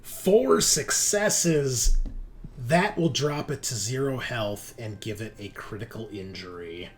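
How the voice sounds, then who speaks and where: A man's voice: medium pitch, slow. A man talking casually as game master, explaining rules and narrating the action of a tabletop game.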